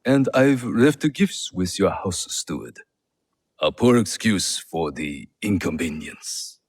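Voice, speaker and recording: Deep, swooping courtly samurai lord. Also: male voice, lively intonation, takes it slowly, full character voice. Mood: smooth, apologetic. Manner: courteous, formal. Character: smooth, courtly, scheming samurai lord and general. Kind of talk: video game cutscene acting.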